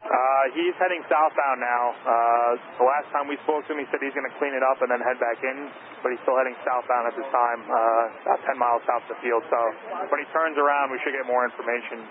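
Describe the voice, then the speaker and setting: A male air traffic controller talks quickly in plain, explanatory radio calls. His voice comes through a thin, narrow channel with clear static and noise around it. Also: medium pitch, steady tone. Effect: aviation radio. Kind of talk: live radio traffic control instructions and updates.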